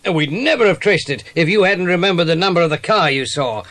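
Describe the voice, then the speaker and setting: An actor playing a genial, grateful police inspector in a dramatised children's audiobook, chatty and hearty. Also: male voice, high-pitched, expressive, fast, fairly bright tone, dry recording. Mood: genial, pleased.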